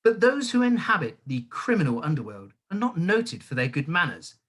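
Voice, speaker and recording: A storyteller voicing a cruel, bullying headmistress who booms and barks insults at a child. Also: big pitch swings, normal speed, husky. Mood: sneeringly sweet. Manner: booming, barking insults, mock-sweet when menacing. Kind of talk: audiobook character voice.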